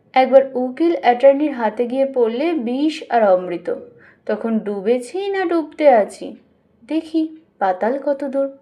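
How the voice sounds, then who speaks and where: High-pitched woman reading a story. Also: dark tone. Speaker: audiobook reader. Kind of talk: expressive scripted literary narration.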